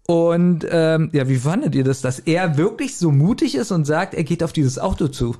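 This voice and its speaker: A man's voice: on the high side, expressive, moderate pace, warm tone. A German man co-hosting a podcast, retelling a story in casual chat and asking the others what they think. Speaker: podcast co-host.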